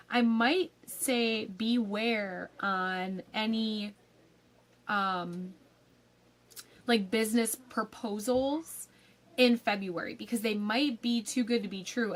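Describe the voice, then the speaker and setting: A young woman giving a casual tarot forecast, a little raspy from a cold, chatty with frequent ums and likes. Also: mid-range voice. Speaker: tarot reader.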